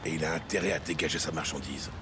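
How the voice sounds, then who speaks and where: An actor playing a hard-boiled, corrupt police lieutenant in an audio crime drama, curt and sardonic, with a gravelly voice. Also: male voice, extremely low pitch, steady tone, fast, very noisy. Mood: curt, cynical. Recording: loud music under the voice.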